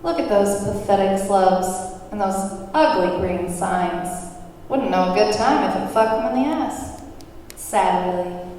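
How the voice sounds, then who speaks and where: A woman's voice: medium pitch, a little slow, mellow, close to an everyday voice. A woman playing a brash, foul-mouthed, domineering thrill-seeker in a table read. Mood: dismissive, pushy. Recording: very echoey hall, far mic, faint noise.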